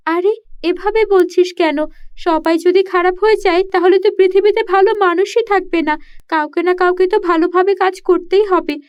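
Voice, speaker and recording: A woman's voice: extremely high pitch, muffled recording. A woman voicing an earnest, kind-hearted young village girl in a children's cartoon, with emphatic delivery.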